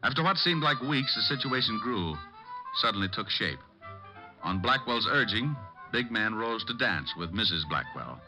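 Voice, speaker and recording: An actor playing a breezy, wisecracking American private detective who narrates in wry, slangy 1940s radio style. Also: male voice, fairly low pitch, very animated, moderate pace, over music, poor audio. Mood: wry narrating.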